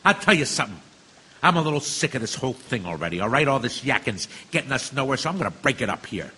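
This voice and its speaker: A man's voice: medium pitch, very animated, quick pace, bright, forceful tone, breathy edge, full character voice. A man acting a brash, impatient juror in an audio drama, brusque and defensive in a reverberant room. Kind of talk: stage-style radio drama acting.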